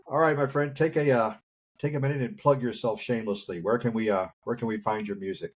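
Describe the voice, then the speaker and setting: A man's voice: medium pitch, fairly even intonation, fast, breathy edge. A man hosting a casual interview, chatty and chuckling often. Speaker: podcast host.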